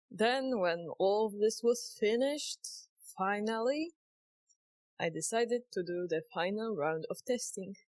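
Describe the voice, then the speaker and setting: Polish accent woman, talks real slow, explaining stuff casually, super dry close sound. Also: lowish voice, darkish tone, no echo. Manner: conversational, explaining step by step. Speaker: indie game developer. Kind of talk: casual devlog narration.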